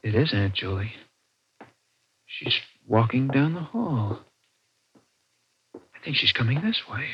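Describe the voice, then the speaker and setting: An actor playing a practical, protective young husband in a 1940s radio suspense drama, speaking in urgent, hesitant questions and short orders. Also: male voice, medium pitch, sing-song, takes it slowly, long pauses. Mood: hushed urgency. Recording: dull vintage 1940s radio, faint hiss.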